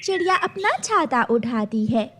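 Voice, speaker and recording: High-voiced lady narrating a kids cartoon story, really animated up and down. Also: measured pace, slight background noise.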